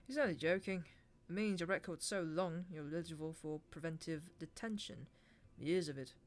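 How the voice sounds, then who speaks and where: A woman's voice: deep voice, moderate pace, natural voice. A woman reading an audiobook voices a blunt male police officer who talks in a curt, matter-of-fact way. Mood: wry.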